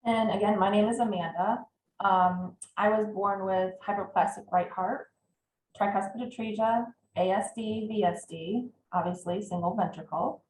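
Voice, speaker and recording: A woman's voice: mid-range voice, fairly even intonation, normal speed, a little mellow. A woman in her forties opens and runs a casual podcast chat. She hesitates a lot, filling gaps with ums. The room sounds echoey, and there is a faint background hum. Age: 40s. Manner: hesitant, many filler ums. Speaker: podcast host.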